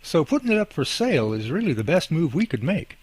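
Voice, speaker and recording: A man acting out a goofy young husband in a radio drama. His voice is lightly raised and a bit rough, swinging widely in pitch. He teases and explains with jokey, earnest exaggeration, very dryly recorded. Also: very animated, a little fast, darkish tone, no room sound. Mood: earnest, persuasive.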